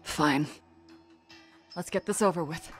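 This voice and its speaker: A woman's voice: sits low, slow pace, faint music behind. A woman playing a sullen, flippant young accomplice in an English-dubbed crime game, with a dismissive, reluctant manner.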